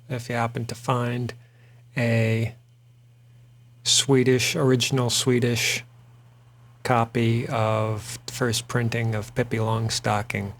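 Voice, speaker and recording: A man's voice: mid-range voice, fairly bright tone. A man hosting a drawing show, chatting casually and rambling a little with frequent fillers while he sketches. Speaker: artist and host.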